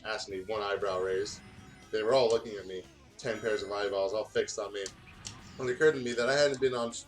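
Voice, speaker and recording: A man reads a story aloud in a casual voice with music behind him. He trips over words now and then and slips into joking asides. His talk is loose and sweary. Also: medium pitch. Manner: stumbles over words, swears, breaks into banter. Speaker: guest reader on a gaming video.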